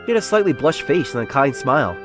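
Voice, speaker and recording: A man's voice: mid-range voice, big pitch swings, quick pace, faint music behind, close to an everyday voice. A man playing an earnest, self-doubting young salesman who secretly feels like a nerd, narrating his own story in a comic dub. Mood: flustered. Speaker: narrator and lead character of a romance comic dub. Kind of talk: first-person dramatised narration.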